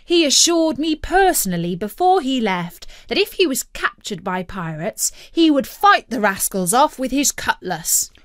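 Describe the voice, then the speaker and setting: A woman's voice: high-pitched, very animated, a little slow, hardly any pauses, no room sound, studio quality, pitched above the performer's own voice. A woman voicing a jovial father in a children's storybook, speaking in a declamatory, mock-formal way. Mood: mock-grand. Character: jovial father playing along with mock-grand speech.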